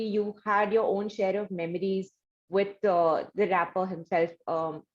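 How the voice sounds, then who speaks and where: A woman with an Indian accent hosting an interview, speaking in formal, wordy, measured phrases. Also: mid-range voice, dull audio. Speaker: interviewer, show host. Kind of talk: interview questioning.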